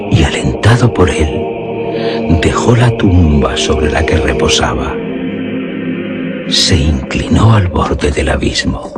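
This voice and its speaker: A man narrating a scripted gothic horror tale in a solemn, suspenseful, literary delivery. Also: sits low. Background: music under the voice.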